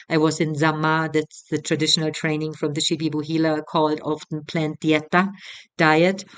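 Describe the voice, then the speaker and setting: A woman in her thirties with a German accent, a retreat facilitator talking casually, halting and repeating words as she goes. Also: sits low, clear, resonant tone. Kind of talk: casual spoken promotional talk.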